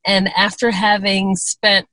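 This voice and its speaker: A woman's voice: mid-range voice, flat delivery, takes it slowly, bright, forceful tone, no room sound. A woman in her forties from Chicago, answering interview questions in a reflective, storytelling way. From Chicago, USA. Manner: reflective, storytelling, light laughs. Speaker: podcast guest.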